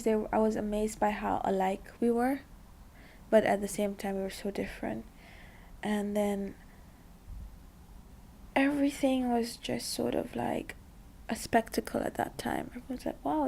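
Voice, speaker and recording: A woman's voice: medium pitch, vocal fry, warm tone. A young woman from Dubai, talking casually in an interview, full of likes and you-knows. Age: young adult.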